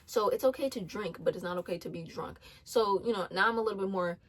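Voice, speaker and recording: A woman's voice: mid-range voice, fast. A twenty-year-old woman giving casual faith-based advice, earnest, with many ums, restarts and repeated phrases. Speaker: faith vlogger.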